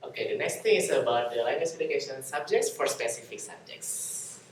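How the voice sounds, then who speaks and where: Give me a young man presenting in a classroom, steady and direct, recorded from across the room. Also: medium pitch, fairly quick, slight background noise. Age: young adult. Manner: steady, addresses audience as "you guys". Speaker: student guide. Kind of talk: presentation explaining course registration. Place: classroom in front of a screen. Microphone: far camera. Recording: echoey classroom from far off.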